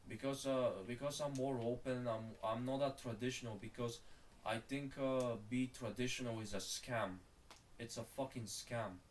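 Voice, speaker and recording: A man's voice: mid-range voice, fairly even intonation, moderate pace, distant mic, slight background noise. Rambling Bulgarian man, a bit off-mic. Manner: rambling, profane, calls the listener bro. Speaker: streamer. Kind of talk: casual rant while gaming.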